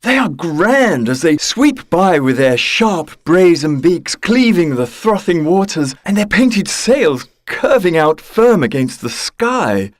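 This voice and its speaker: A man plays a gallant young sailor in an audio drama, frank and respectful. His delivery is formal and earnest with highly varied pitch, recorded close and dry. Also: big pitch swings, slow, few pauses, no room sound. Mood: frank, unafraid.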